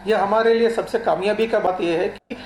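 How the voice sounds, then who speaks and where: A man answers as an official guest in a roomy, echoing space with faint noise. His delivery is formal, halting and repetitive. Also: sits high, steady tone, darkish tone. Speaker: law enforcement official, interview guest. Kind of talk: formal explanation in a TV interview.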